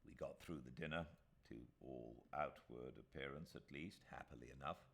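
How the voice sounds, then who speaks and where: A man with a British accent reading literary fiction as a dramatic audiobook narrator, measured and expressive with deliberate pauses. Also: sits low. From Britain.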